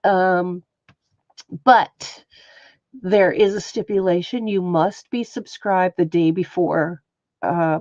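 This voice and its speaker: Stuffed up lady chatting slow on a craft stream, mellow voice, dull lo-fi audio. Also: fairly low pitch, dry recording. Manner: chatty, greets viewers by name. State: stuffed-up, sniffly nose. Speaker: livestream host and textile artist. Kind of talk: casual livestream chat while showing stitching.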